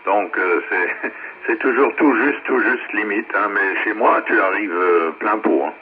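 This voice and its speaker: A man's voice: on the low side, measured pace. A man chatting casually as an amateur radio operator over a two-way radio. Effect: two-way radio transmission. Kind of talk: casual ham radio chat. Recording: narrowband radio relay, faint static.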